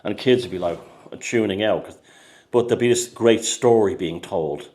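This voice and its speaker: A man's voice: lowish voice, moderate pace, darkish tone. An Irish man, an author, chatting casually and rambling, with many restarts and repeated words. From Ireland. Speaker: author and host. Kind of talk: casual conversation.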